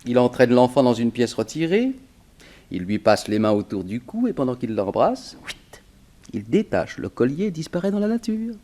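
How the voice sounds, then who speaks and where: Animated, slangy ex-burglar played by a man. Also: medium pitch, moderate pace, slight background noise, lightly acted. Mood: sly, grumbling. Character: cagey, indignant male ex-burglar with a grudge. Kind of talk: 1960s French radio drama acting.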